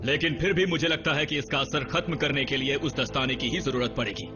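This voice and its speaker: A man's voice: medium pitch, a little fast, natural voice. A man voicing a grave robot medic in a Hindi cartoon dub, explaining things emphatically. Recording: music behind the voice.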